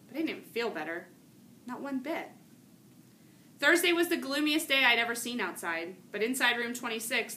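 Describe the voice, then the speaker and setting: A woman reading a children's novel aloud in an animated, emphatic storytelling manner. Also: mid-range voice. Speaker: storybook reader, teacher or school staff. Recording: noticeable background room noise.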